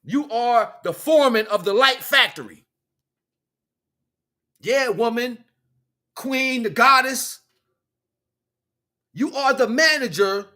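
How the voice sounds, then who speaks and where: Bright, forceful American man preaching off the cuff. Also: very high voice. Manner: rambling, emphatic, slangy, swearing freely. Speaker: livestream host, self-styled spiritual teacher. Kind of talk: freeform spiritual monologue, street-talk preaching.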